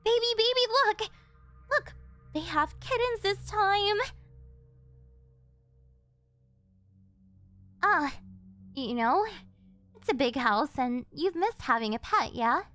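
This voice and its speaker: A woman's voice: very high-pitched, animated, measured pace, pushed and bright, faint music behind, heavily acted. An actress playing a clingy, possessive delinquent girlfriend in an ASMR roleplay, sweet and doting with a menacing edge. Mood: excited, coaxing. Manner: doting, pleading, swinging into threats.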